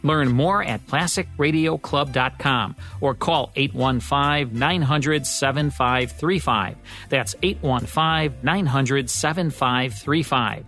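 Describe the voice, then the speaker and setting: A man's voice: mid-range voice, slow pace, bright, forceful tone. A man with an American accent reading a scripted outro as host of a podcast. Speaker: radio show host and producer. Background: music.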